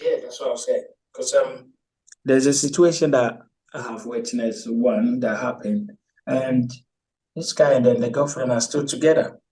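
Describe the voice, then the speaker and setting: A man's voice: mid-range voice, measured pace, far from the mic, rough recording. Easygoing man from Ghana, hesitant. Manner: hesitant, many ums, relaxed storyteller. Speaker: guest. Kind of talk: casual chat, storytelling.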